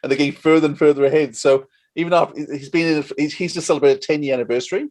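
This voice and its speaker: A man's voice: a little high, fairly even intonation, very fast, darkish tone. Effusive Australian man hosting a casual chat. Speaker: podcast host.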